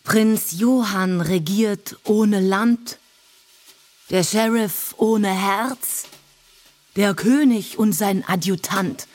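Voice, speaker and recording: A woman's voice: mid-range voice, darkish tone. A woman performing rhymed, mocking verse in a story production.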